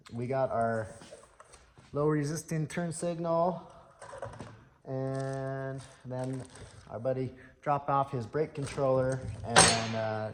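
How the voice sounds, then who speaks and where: A hoarse man talking in a plain-spoken, matter-of-fact way as he works on a truck in his repair shop. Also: mid-range voice. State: hoarse, slightly lost voice. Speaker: truck mechanic and shop owner. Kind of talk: casual shop vlog narration. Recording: echoey shop with faint noise.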